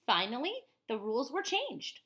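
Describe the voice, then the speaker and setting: A woman voicing a calm, resolute woman activist who tells her life story in a picture book, earnest and emphatic. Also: fairly high pitch, normal speed. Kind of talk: first-person picture-book narration.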